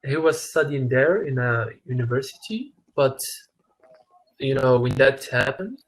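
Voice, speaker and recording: A man's voice: medium pitch, expressive, a little slow, rough recording. A Moroccan man, a native Arabic speaker practicing English on a video call, friendly and often stopping to search for words and correct himself. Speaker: English learner. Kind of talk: casual video-call conversation.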